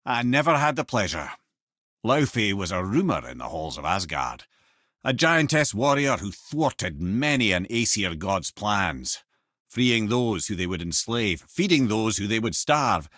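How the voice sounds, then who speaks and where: Witty, raspy old Scottish storyteller. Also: male voice, fairly low pitch, sing-song, normal speed, a bit bright, no echo, studio quality. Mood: storytelling. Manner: wry, eloquent, colloquial raconteur. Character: witty, learned old severed-head advisor and storyteller. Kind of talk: video game character dialogue and storytelling.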